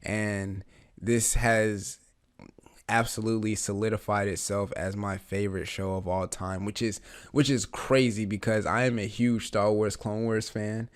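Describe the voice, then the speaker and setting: A man with a raspy voice, just getting over the flu, talking through a TV episode in a rambling, eager way with lots of fillers. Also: lowish voice, steady tone, unhurried. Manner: rambling, many fillers and restarts, eager fan. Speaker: reaction channel host. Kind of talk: casual reaction commentary.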